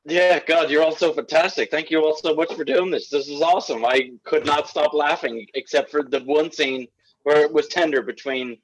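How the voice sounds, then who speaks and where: A man's voice: a little high, steady tone, moderate pace, a little hiss or hum, poor audio. A man chatting casually in an effusive, gushing way. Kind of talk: casual chat.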